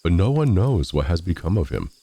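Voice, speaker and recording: Male narrator for classic fairy tales, low and mellow, with very wide swings in pitch. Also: very animated, fairly quick. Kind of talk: scripted storybook narration.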